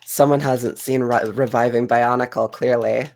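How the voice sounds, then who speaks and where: A man's voice: medium pitch, fairly even intonation, normal speed, gravelly, a little mellow. A man chatting casually as a panelist on a group podcast.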